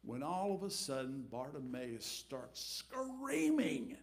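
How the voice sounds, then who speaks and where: Middle-aged man, pastor, lively storytelling sermon, headset mic in a church. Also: mid-range voice, slow. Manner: animated, acts out crowd voices, drops to quiet asides. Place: church sanctuary, at the pulpit.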